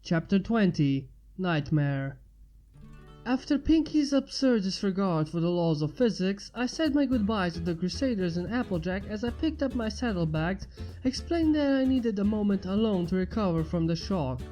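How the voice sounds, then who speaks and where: A man narrates a story in the first person in a high, soft-toned voice. He reads from a script in a roomy, echoing space, with music underneath. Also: animated, normal speed. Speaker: fan fiction narrator. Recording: roomy echo, reverb, music behind. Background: background music.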